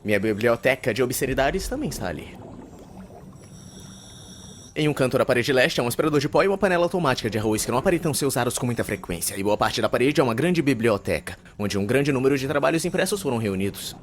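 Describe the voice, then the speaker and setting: A man's voice: medium pitch, lively intonation, quick pace, a little hiss or hum, close to an everyday voice. A man with a Brazilian accent playing a verbose, self-important, reclusive young university student narrating an anime monologue. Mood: matter-of-fact, wry. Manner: pompous, wordy, formal.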